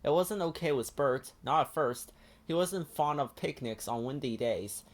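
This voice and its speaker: A man narrating a children's picture book as an expressive read-aloud. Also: a little high, moderate pace. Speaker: children's story reader. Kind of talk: expressive scripted storybook narration.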